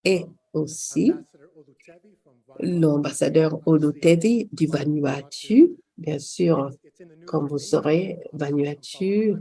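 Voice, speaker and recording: A woman's voice: sits low, slow pace, vocal fry, warm tone, husky. A woman moderating a panel, speaking hesitantly with frequent fillers.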